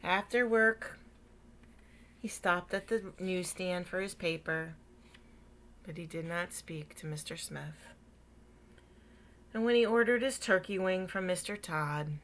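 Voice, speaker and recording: A woman reading a picture book aloud to children in an animated, dramatic way, dropping into hushed asides. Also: fairly low pitch, slight background noise. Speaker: children's story reader.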